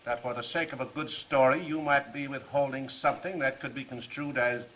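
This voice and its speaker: An actor playing a shrewd district attorney in a 1930s film, questioning a suspect with deliberate, mock-polite insistence. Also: male voice, mid-range voice, steady tone, fairly bright tone, close to an everyday voice. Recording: muffled 1930s film soundtrack.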